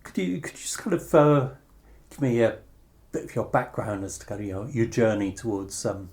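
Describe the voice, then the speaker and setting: An interviewer asking casual questions in a tentative, gently probing way. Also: mid-range voice, lively intonation, moderate pace.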